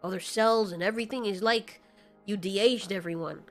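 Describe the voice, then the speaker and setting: A woman's voice: mid-range voice, moderate pace, soft background music, natural voice. A woman voicing a cold male fire demon kaiju in an audio story, blunt, sardonic and slangy. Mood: matter-of-fact.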